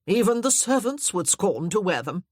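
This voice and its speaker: A woman voicing an imperious elderly dowager countess who speaks bluntly and deliberately. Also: mid-range voice, very animated, a little mellow, dry recording, clean studio sound, pitched above the performer's own voice.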